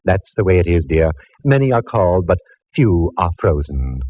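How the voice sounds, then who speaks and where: An actor playing a fussy, affectionate gentleman friend with a theatrical, punning manner, from a dull old radio broadcast. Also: deep voice, big pitch swings, moderate pace, dry recording. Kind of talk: 1940s radio acting.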